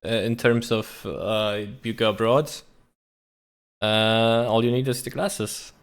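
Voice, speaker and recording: A man streaming a reaction to a tech talk, thinking aloud in a casual, halting way with frequent restarts. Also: lowish voice, steady tone, smooth. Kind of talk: casual live reaction commentary.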